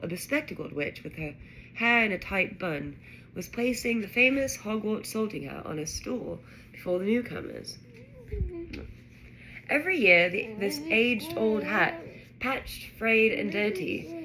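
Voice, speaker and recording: A woman narrating a children's fantasy story aloud in an expressive reading style. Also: mid-range voice, noisy background. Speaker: audiobook-style reader.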